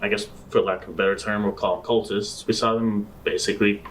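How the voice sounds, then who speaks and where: A man acts out a sheepish space adventurer in a tabletop game. His voice is fairly low, and he talks haltingly, often repeating himself. He sounds a little distant from the mic, with faint noise behind him. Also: level tone, fairly bright tone, natural voice. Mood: hesitant. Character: sheepish male space adventurer reporting back. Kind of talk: improvised tabletop roleplay.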